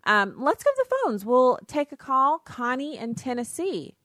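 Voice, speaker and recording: A woman's voice: high-pitched, very animated, normal speed, fairly bright tone, dry recording, studio quality. A woman hosting a call-in radio talk show, firm and argumentative as she pushes back on callers. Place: radio studio.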